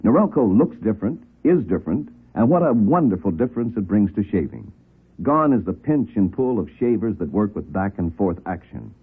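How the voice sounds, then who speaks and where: A man's voice: on the low side, very animated, normal speed, husky. An American man reading advertising copy as a 1950s radio announcer, polished and emphatic. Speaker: commercial announcer. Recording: tinny narrow-band 1950s radio.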